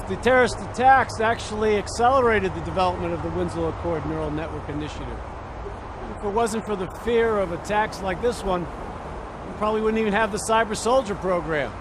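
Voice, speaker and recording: An actor playing a coolly ironic soldier in danger in a video game cutscene. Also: male voice, sits high, moderate pace, close to an everyday voice. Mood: wry, urgent. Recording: noisy vehicle ambience.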